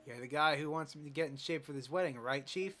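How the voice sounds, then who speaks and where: A performer voicing a blunt, teasing old male gym coach in a high, bouncy put-on voice in a fan dub. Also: fast, a bit bright, close to an everyday voice. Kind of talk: amateur fan dub of a medical drama.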